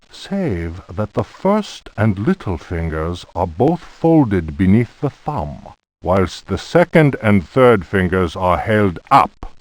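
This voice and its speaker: Grave grimoire narrator, very low voice, swooping intonation, portentous game narration, dry sound. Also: male voice, darkish tone, no room sound. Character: solemn male reader of an occult grimoire. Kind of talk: theatrical scripted book narration.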